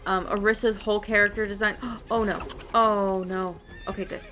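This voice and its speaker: A woman giving casual, excitable gameplay commentary as she plays. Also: mid-range voice, moderate pace. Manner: excitable, gushing. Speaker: gamer, channel host. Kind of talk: casual gameplay commentary, reading quest dialogue aloud.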